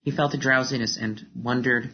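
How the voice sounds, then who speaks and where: Poet reading with little pitch variation. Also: steady tone, moderate pace, husky. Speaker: poet reading own work. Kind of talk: literary poetry reading. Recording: dull recording with faint noise.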